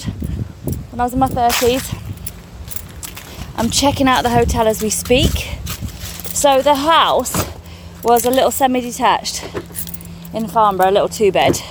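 A middle-aged woman talks straight to the camera in a loose, chatty vlog. She gushes and gets excitable, her voice pitched a little higher than most. A faint hum of noise runs underneath. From England. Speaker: comedian vlogging her tour. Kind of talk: casual vlog chat to camera.

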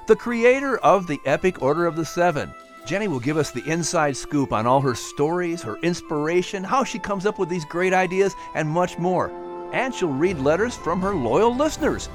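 A man reading scripted narration as an audiobook storyteller and announcer. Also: on the high side.

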